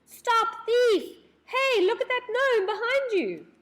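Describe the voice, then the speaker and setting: A woman's voice: very high-pitched, expressive, slow, a bit bright, voice pushed up, full character voice. A woman voicing a bold, alert young boy in a children's storybook, with an urgent, decisive manner. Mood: alarmed shouting.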